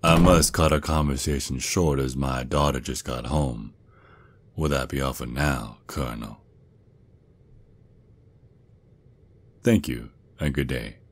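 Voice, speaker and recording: A man playing a protective werewolf father and gruff sergeant with a Southern US accent, in an ASMR roleplay with a folksy drawl. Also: a bass voice. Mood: formal, polite. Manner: doting, folksy, slow drawl with pet names.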